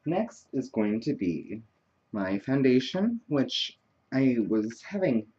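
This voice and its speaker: A man's voice: mid-range voice, very animated, takes it slowly, mellow, slightly rough, lo-fi sound. A man hosting a makeup tutorial, chatting casually in a wandering, self-deprecating way. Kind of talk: casual rambling tutorial chat.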